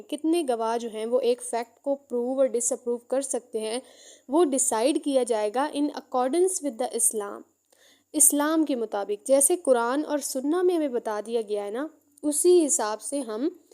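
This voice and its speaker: A woman with a Pakistani accent giving an explanatory law lesson, mixing two languages and slowing down to read out points before explaining them. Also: sits high, dark tone. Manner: code-switches between Urdu and English, slows down when reading out. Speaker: law lecturer.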